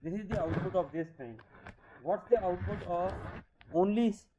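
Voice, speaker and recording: A man's voice: high-pitched, normal speed, dull audio, background noise. Man in his thirties teaching code. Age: 30s. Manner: explains step by step, often checking with "okay?". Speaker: programming teacher. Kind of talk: screen-recorded coding lecture.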